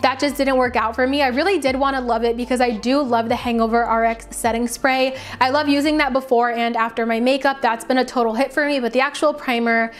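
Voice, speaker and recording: A woman with a fairly high voice talks casually to camera as a beauty vlogger. She reviews products in a chatty, conversational way and leans on words for emphasis. Her voice carries a slight raspy tiredness from a long stretch of talking. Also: fairly even intonation, brisk, hardly any pauses.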